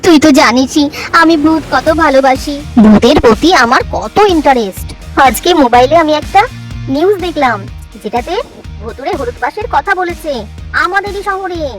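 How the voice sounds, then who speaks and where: Woman acting eager mischievous schoolgirl, very high voice, children's horror cartoon, defiant, music behind. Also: animated, normal speed. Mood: excited. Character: mischievous, excitable schoolgirl obsessed with ghosts.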